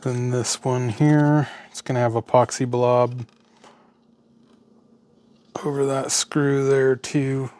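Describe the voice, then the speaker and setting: A man's voice: medium pitch, level tone, takes it slowly, pauses often, a little mellow, dry recording. An American man giving casual, unscripted commentary as he works at a bench, muttering to himself in short, trailing remarks. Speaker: host of an electronics teardown video. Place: indoors at a workbench. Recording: clearly noisy home recording, sometimes off-mic.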